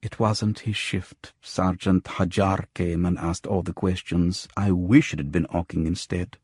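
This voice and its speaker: A man voicing a shaken, frightened woman with a husky voice and a grave, urgent manner, in audiobook dialogue. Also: low voice, steady tone, measured pace, darkish tone, no echo, clean studio sound. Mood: subdued, urgent. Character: shaken, frightened woman recounting a friend's murder.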